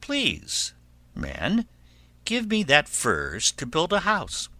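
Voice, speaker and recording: A man's voice: on the high side, huge pitch swings, drawn-out words, bright, forceful tone, no room sound, pitched above the performer's own voice, heavily acted. A man voicing a small, plucky, polite little pig in a children's fairy tale, with an emphatic, childlike delivery. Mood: polite pleading.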